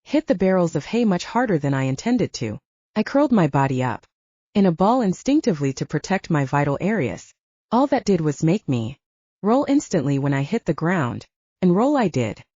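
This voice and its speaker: Animated woman narrating, dull dry recording. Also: on the low side, no room sound. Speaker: audiobook narrator. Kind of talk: scripted audiobook narration.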